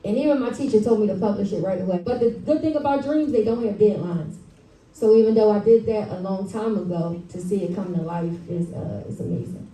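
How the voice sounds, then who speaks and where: A woman's voice: medium pitch, brisk, mellow. A woman who teaches and writes children's books, giving an encouraging live talk straight to an audience of children and families. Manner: encouraging, addresses the audience directly. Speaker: children's author and school teacher. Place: library event room, speaking to a live audience. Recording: roomy, echoey live event, distant mic.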